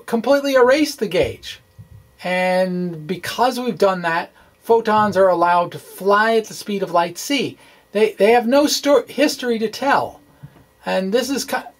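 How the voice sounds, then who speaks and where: A man giving an informal explanatory lecture in a chatty, folksy way, with wry asides and a halting delivery. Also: high-pitched. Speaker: physics blogger presenting a lesson. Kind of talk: informal explanatory lecture, dramatic blog reading.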